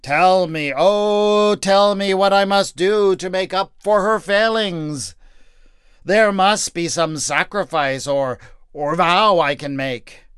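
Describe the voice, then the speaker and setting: A man voicing a pompous, self-righteous man who prays loudly and scoffs at his wife. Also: sits high, slow pace, clean tone, no echo, clean studio sound, voice pushed up. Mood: pleading.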